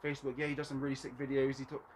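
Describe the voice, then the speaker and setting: A man's voice: mid-range voice, monotone, very fast, a little hiss or hum. A man hosting a podcast in casual chat, eager and slangy, often chiming in to agree. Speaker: podcast host.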